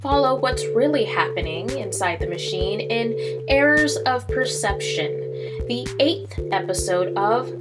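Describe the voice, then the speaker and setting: Female announcer, slightly high voice, scripted drama narration, prominent music behind. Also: expressive, measured pace. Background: loud music.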